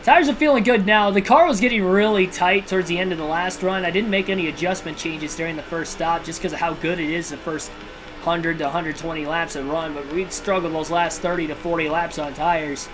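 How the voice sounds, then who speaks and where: A man hosting a livestream and giving realistic play-by-play commentary on a racing video game, in a steady broadcaster style with casual personal asides. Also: fairly high pitch, a little fast, background noise. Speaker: livestream host and race commentator. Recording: video game music clearly behind voice.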